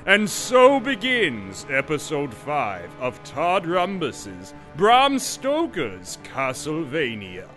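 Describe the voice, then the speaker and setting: A man's voice: on the high side, huge pitch swings, slow pace, few pauses, loud music behind the voice. A man delivering theatrical scripted narration as a story recap.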